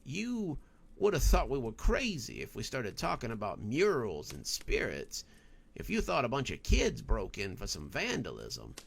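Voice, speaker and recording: An earnest, cautious man in a spooky story, played by a male narrator. He explains things gravely, with animated intonation and some gravel in his voice. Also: mid-range voice, unhurried, heavily acted. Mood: serious, cautious.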